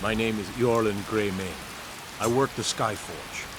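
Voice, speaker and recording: An actor playing a gruff, honest old blacksmith, proud of his craft, in a fantasy video game. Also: fairly low pitch, measured pace, very noisy, lightly acted. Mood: proud, earnest. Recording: game dialogue under loud rain and storm.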